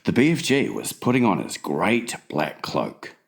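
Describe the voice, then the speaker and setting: A male audiobook narrator reads a children's story with a hugely varied, animated melody. His pace is slow and measured, and the reading is scripted and dramatized. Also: sits low, creaky.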